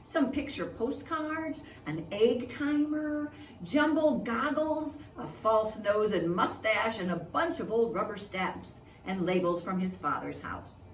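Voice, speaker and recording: A woman reads a picture book aloud for young children at an unhurried pace, with lively swings in pitch. She sounds a little distant from the mic, in a roomy, echoing space. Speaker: preschool teacher reading a storybook aloud. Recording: echoey room, distant mic, faint noise.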